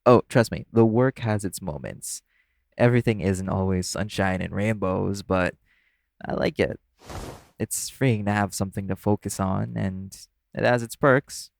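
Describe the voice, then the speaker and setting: A man's voice: lowish voice, measured pace, vocal fry, no echo. A man playing an easygoing, teasing club performer who chats casually with a coworker in an intimate audio roleplay. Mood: friendly, reassuring.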